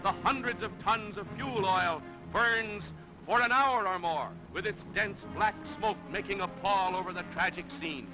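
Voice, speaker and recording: A man with a high voice narrates a vintage 1930s newsreel. His scripted delivery is dramatic and grave. The soundtrack is dull and dated, with music clearly playing behind him. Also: normal speed.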